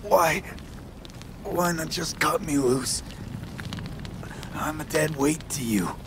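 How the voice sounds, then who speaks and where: A man's voice: a little high, slow, noisy background. An actor playing a badly wounded male mercenary in a video game, weak and pleading, his voice strained with pain. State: in pain, weakened. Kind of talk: dramatic video game acting.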